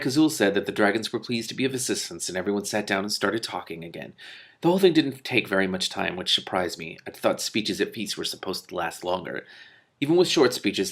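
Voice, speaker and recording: A man reading a fantasy story aloud in an animated, informal way, now and then correcting himself. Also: fairly low pitch. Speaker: reader and commentator. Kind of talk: expressive storybook read-aloud.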